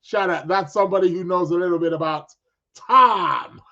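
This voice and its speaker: A chatty man hosts a live stream with a fairly high voice that sounds croaky and a little stuffed up. He sounds upbeat and greets his listeners one by one. The recording is dull and lo-fi. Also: measured pace. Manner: chatty, upbeat, gives viewers shout-outs. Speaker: podcast host.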